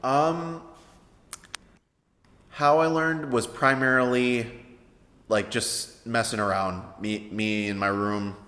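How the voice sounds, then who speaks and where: A man in his thirties with a baritone voice, answering interview questions in a hesitant way with many fillers. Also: steady tone, slow, pauses often, sharp tone. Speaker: interview guest, veteran songwriter. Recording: echoey room with long reverb.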